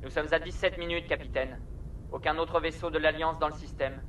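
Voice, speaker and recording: Man playing a high-voiced starship pilot. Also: steady tone, fairly quick, a bit bright, slight background noise, close to an everyday voice. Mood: concerned, reporting. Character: brisk male military starship pilot. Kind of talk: video game cutscene acting in French.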